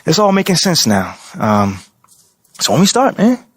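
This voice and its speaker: Confident young American basketball rookie. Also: male voice, fairly high pitch, huge pitch swings, moderate pace, slightly rough, no room sound, clean studio sound, full character voice. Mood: relaxed, upbeat. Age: young adult. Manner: casual, slangy, loose. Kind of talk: naturalistic video game cutscene acting.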